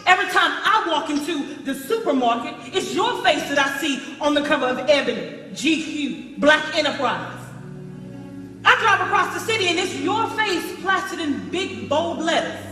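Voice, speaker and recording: A proud, wounded ex-girlfriend in a gospel stage drama. Her voice is high and bright, with emphatic theatrical phrasing. It carries through a large, reverberant hall over music. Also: very animated, normal speed, heavily acted. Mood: sarcastic. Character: proud, wounded ex-girlfriend, recovered addict turned businesswoman. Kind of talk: gospel stage play acting.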